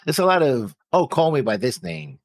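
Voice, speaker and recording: A man's voice: medium pitch, big pitch swings, moderate pace. A man hosting a reaction show, chatting casually in a rambling, explanatory way. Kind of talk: casual chat.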